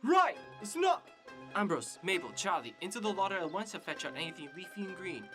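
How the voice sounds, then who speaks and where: A responsible eldest rabbit child acted by a woman with a slightly low, animated voice. The manner is commanding and formal, like a small drill sergeant, in audio drama with music behind. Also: fairly quick. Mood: barking orders. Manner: commanding, formal, politely articulate.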